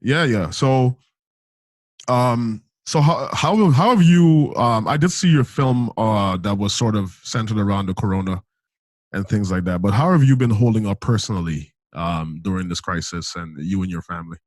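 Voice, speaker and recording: A man's voice: fairly low pitch, normal speed, no room sound. A man in his thirties from Saint Lucia, hosting a podcast in a laid-back, slangy chat. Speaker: podcast host. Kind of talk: casual chat.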